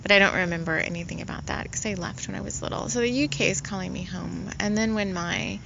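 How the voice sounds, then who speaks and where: A woman spiritual healer in casual, rambling talk, soft-spoken and full of fillers. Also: mid-range voice, quick pace, vocal fry, highs cut off, noisy background.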